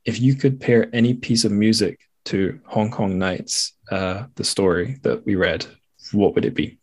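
A man's voice: fairly low pitch, fairly even intonation, unhurried, a little mellow, slightly rough. A man hosting a podcast in a casual, conversational interview style. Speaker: podcast host.

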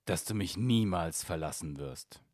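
A mournful, lovelorn beast, put on by a man reading a fairy tale, rumbling very low and slow. Every line drags with heavy sorrow, and the dry, close sound keeps him right at the ear. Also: expressive, a little mellow, no room sound. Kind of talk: storybook reading.

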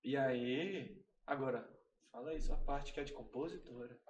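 A man's voice: mid-range voice, moderate pace, darkish tone, far from the mic, slight background noise. A man from Brazil, a band member, telling stories in a laid-back, slangy way in a casual podcast interview. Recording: echoey room, off-mic.

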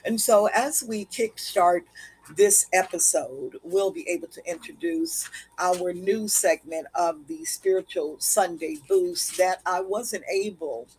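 A woman hosting a podcast in a slow, deliberate manner, her voice scratchy today. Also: fairly low pitch, a little mellow, husky. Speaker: podcast host. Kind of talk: podcast monologue.

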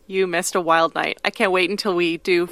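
A woman co-hosting a podcast in casual chat, playful and joking with quick asides. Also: fairly low pitch, fairly even intonation, a bit bright, no echo. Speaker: podcast co-host.

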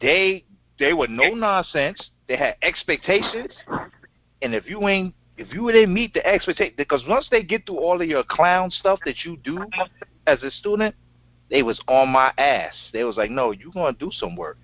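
A middle-aged man with a deep voice co-hosting a casual show, talking in an emphatic, slangy way and repeating his phrases. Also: sing-song, normal speed, no room sound, muffled recording. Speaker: show co-host. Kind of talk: casual, unscripted conversation.

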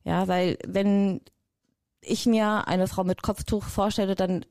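German woman guest in an interview, mellow low-key tone, dry close mic, keeps doubling words for emphasis. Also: takes it slowly, no room sound. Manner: lively, emphatic, repeats words for stress. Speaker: guest, personnel developer. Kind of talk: casual interview talk.

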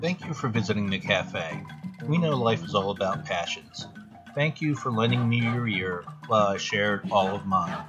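A man's voice: lowish voice, normal speed. Male host, scripted sign-off, slightly dull recording, prominent guitar backing. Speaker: podcast host. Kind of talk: scripted outro. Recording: dull lo-fi sound under music.

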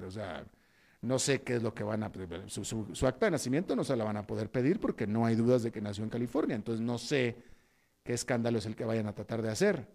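A man's voice: mid-range voice, dark tone. A man from Mexico, hosting a news program and mixing reports with conversational, opinionated commentary full of fillers. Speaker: news host. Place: Costa Rica.

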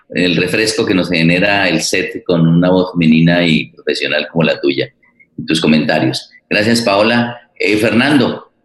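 An older man with a Colombian accent hosting an unscripted event, haltingly searching for his words. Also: deep voice, a bit bright. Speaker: host and theatre director. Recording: roomy, echoing voice pickup.

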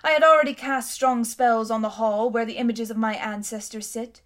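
Woman doing storybook tyrant queen, imperious, loud, haughty, a little higher. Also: steady tone, normal speed, lightly acted. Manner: haughty, commanding, grand.

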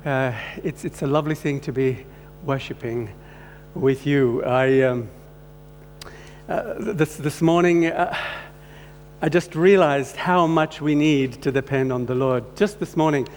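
A man from Croatia giving a personal testimony sermon as a guest speaker, talking haltingly with many restarts. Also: a little high, darkish tone. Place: church hall. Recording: long hall reverb, faint hum.

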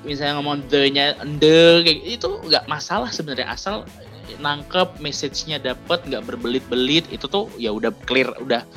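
Young male co-host, bright voice, loose casual banter, faint music under it. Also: on the high side, normal speed. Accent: Indonesian. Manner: chatty, laughs often, many fillers. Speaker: podcast co-host. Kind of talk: casual chat.

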